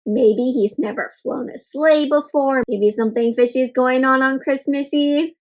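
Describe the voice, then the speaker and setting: A woman's voice: high voice, moderate pace. A woman hosting an interactive storybook read-aloud for kids, asking questions and explaining words simply. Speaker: children's read-aloud host, teacher.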